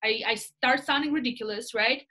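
Bright-voiced woman with a Russian accent. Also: fairly high pitch, moderate pace. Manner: animated storyteller, gestures with her hands, tags lines with "right?". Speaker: real estate team leader, guest. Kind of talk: casual interview chat. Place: small indoor room. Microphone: laptop webcam video call.

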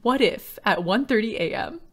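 A woman's voice: medium pitch, animated, normal speed, a bit bright. A young woman chatting casually and expressively as a streamer reacting to a game trailer, with a playful way of talking. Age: young adult. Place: indoor room, livestream webcam.

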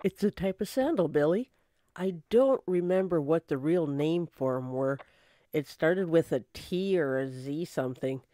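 A woman's voice: sits low, animated, a little slow, warm tone, dry recording, studio quality. A woman playing a chatty secretary at a detective's office, in old time radio drama style.

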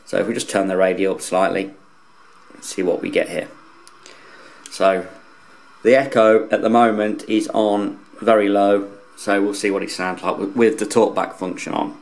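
Male product reviewer, lowish voice, informal and unscripted, plain-spoken, feels his way through sentences. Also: fairly even intonation, normal speed, creaky. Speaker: radio hobbyist reviewer.

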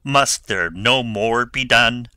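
An actor playing a bitter, hot-headed young nobleman in mourning, declaiming forcefully in a Shakespeare audiobook drama. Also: mid-range voice, slow pace, smooth, no echo, clean studio sound. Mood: bitter grief.

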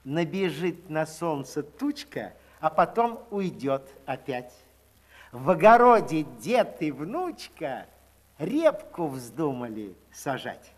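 A man narrating a children's fairy tale in verse with an expressive storybook delivery, on an old 1960s record. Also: on the high side, slow pace. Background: orchestral music.

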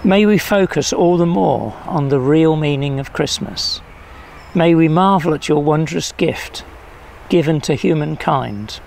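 An older man solemnly reading prayers at a church service in measured phrases, recorded outdoors. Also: a little high, creaky, darkish tone. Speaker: prayer leader. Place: outdoors in a leafy field or garden. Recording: faint outdoor background noise.

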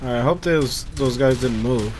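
A man giving casual, profane gameplay commentary, thinking out loud and reacting as he plays. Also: medium pitch, normal speed, a little hiss or hum. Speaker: gamer recording a playthrough.

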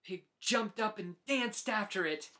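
A man in his forties narrating a children's storybook as host, with deliberate, dramatic phrasing. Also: high voice, sharp tone. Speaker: host reading picture books aloud.

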